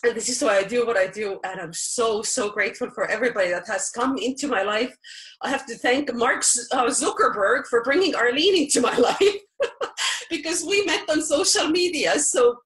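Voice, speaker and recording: A woman's voice: fairly high pitch, moderate pace, fairly bright tone, poor audio. A woman from Estonia with an Estonian accent, giving a heartfelt, emotional testimonial. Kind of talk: personal testimonial on a call.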